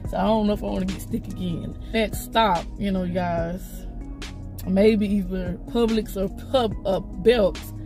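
A woman's voice: medium pitch, fairly even intonation, measured pace, music in the background. A woman, chatting to her phone camera from a parked car. She sounds congested and rambles, with lots of fillers and restarts. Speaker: vlogger. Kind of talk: casual vlog chat to camera. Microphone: phone camera held close.

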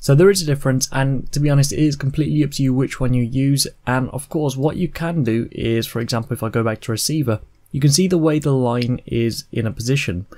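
Young man giving a casual software tutorial. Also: medium pitch, fast talker, hardly any pauses. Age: 20s. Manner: informal, step-by-step explaining. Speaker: tutorial host.

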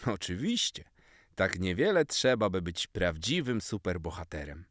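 A man's voice: sits low, big pitch swings, normal speed, fairly bright tone, dry recording, studio quality. A man voicing a patient elderly grandmother in a children's story, explaining things affectionately to her grandson. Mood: reassuring.

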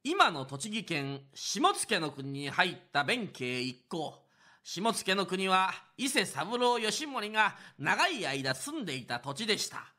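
A man's voice: high voice, very animated, slow, bright, forceful tone. A man narrating a radio drama as a traditional Japanese storyteller, in a theatrical scripted kodan style.

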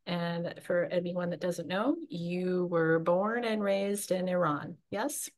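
A woman's voice: lowish voice, fairly even intonation, takes it slowly, a little mellow. A middle-aged woman interviewing a guest conversationally on a video call from a room at home.

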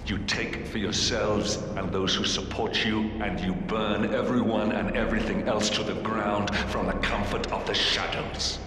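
An actor playing a grave, vengeful rebel leader in a video game, speaking in a measured, bitter way. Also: male voice, fairly low pitch, fairly even intonation, background noise, natural voice. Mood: bitter, resolute. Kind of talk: video game cutscene acting. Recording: echoey hall reverb.

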